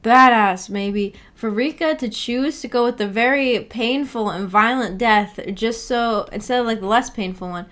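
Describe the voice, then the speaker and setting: A woman's voice: fairly high pitch, normal speed, hardly any pauses, fairly bright tone. A woman hosting a livestream, chatting casually in a musing, hesitant, giggly way. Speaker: livestream host. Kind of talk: casual livestream chat about a visual novel.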